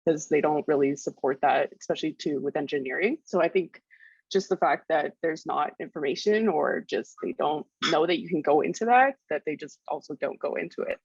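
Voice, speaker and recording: A woman's voice: sits low, brisk, vocal fry, husky, no echo, dull audio. A woman explaining her view earnestly in a casual podcast discussion. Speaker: podcast co-host, staff member.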